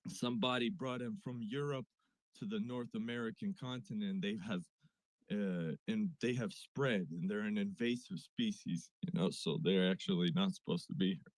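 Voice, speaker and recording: A man's voice: lowish voice, moderate pace, dry recording, rough recording. A man from Siberia with a Russian accent, chatting playfully as a caller. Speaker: guest caller. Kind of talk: casual chat on a live audio space.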